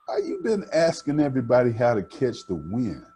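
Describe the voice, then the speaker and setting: A man's voice: mid-range voice, huge pitch swings, normal speed, mellow, soft background music, voice pushed up. A man voicing a clumsy, good-natured young country boy from a storybook, in a questioning, folksy manner. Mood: curious, surprised.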